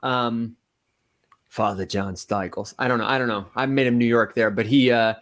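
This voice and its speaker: A man's voice: mid-range voice, normal speed, no echo, clean studio sound. Nasal-voiced man with a slight Michigan accent. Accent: Michigan, mostly neutral American. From Las Vegas, raised in Michigan. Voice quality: nasal, reedy. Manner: animated storyteller, laughs often. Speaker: co-host. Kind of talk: casual chat.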